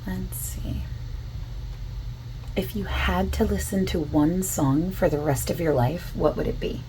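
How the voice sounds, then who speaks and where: A soft-spoken woman doing an ASMR roleplay as a survey interviewer, asking questions in a gentle, casual, reassuring way. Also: on the low side, a little slow. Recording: constant keyboard typing noise. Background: computer keyboard typing and clicking.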